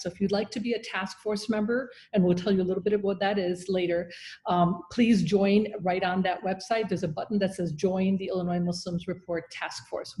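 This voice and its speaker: A woman's voice: mid-range voice, warm tone. A woman hosting an online round table in an informal, encouraging and enthusiastic manner. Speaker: host and moderator.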